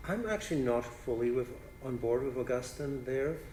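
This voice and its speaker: A man's voice: medium pitch, lively intonation, moderate pace, warm tone, reverberant, slight background noise. A man whose first language is Polish, a philosophy professor answering audience questions after a lecture, thinking aloud and often checking in with "right?". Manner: thinks aloud, restarts phrases, frequent "right?".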